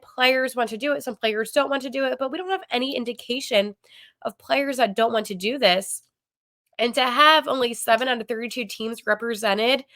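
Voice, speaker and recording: A woman's voice: a little high, fairly bright tone. A woman hosting a sports podcast, chatting with opinions in a congested, nasal voice from a cold, with frequent ums and likes. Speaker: podcast host.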